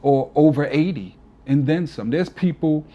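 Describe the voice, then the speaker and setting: A man's voice: fairly high pitch, moderate pace, mellow, muffled recording. A man in his forties, a DJ guest on an interview, talking earnestly and from the heart, often adding "you know". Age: 40s. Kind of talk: casual reflective interview talk.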